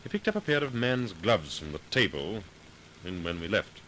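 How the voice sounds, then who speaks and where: Low-voiced, wry radio sleuth. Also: male voice, sing-song, moderate pace, fairly bright tone. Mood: thoughtful. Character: calm, commanding amateur sleuth with a suave, wry edge. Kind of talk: 1940s radio mystery acting. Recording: noisy vintage 1940s radio recording.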